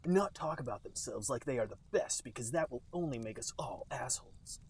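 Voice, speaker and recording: A man voicing a brooding, self-serious teenage cartoon antihero with a deliberate, menacing delivery. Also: medium pitch, expressive, slightly rough, pitched below the performer's own voice. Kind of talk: acted fan-fiction dialogue reading.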